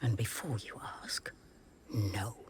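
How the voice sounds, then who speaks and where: A woman's voice: a bass voice, slow, background noise. A woman playing a prim, condescending, book-loving shop librarian who whispers in a fantasy video game. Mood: stern, disdainful. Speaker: bookseller in literature department.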